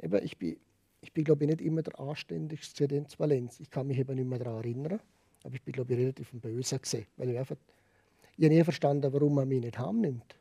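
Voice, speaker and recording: A man with a Liechtenstein accent telling his personal story candidly as a podcast guest, emphatic and repeating phrases, in a gravelly, husky voice. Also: medium pitch, fast, mellow, no echo.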